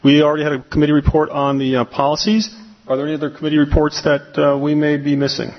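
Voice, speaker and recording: A man chairing a school board meeting, reading procedure and calling on members in a brisk, formal way. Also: a little high, little pitch movement, muffled recording.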